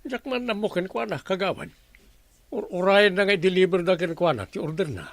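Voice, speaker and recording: A man's voice: high voice, animated, a little fast, gravelly, no echo. A man with an Ilocano accent playing a homely, somewhat hesitant village captain in a radio comedy drama. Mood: musing.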